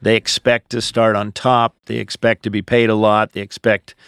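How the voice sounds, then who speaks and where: A man's voice: on the low side, little pitch movement, moderate pace, creaky, a bit bright, smooth, no echo, clean studio sound. A middle-aged or older man, a pastor, giving conversational advice on a podcast. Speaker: pastor answering listener questions.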